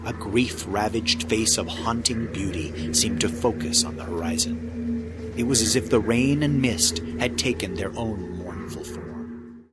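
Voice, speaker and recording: Very loud music runs behind a man with a fairly low voice. He delivers dramatic, scripted story narration. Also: a little slow.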